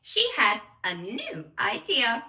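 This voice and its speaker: A very bright, high-voiced woman reads a children's bedtime story at an unhurried pace. Her delivery is theatrical and sing-song, addressed straight to little listeners, in an echoey room. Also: huge pitch swings. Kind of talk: expressive bedtime story read-aloud for small children. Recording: roomy echo, distant microphone.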